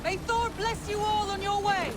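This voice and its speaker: A woman's voice: very high-pitched, fairly even intonation, moderate pace. A woman playing a dutiful, composed Viking shipmate in a dramatic video game. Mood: dutiful, calling out. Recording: very loud water and boat noise.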